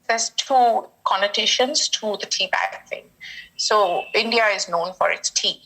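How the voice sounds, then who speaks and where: A woman's voice: mid-range voice, sharp tone, poor audio. A middle-aged woman, reflectively recalling personal experience in an interview. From Britain, London.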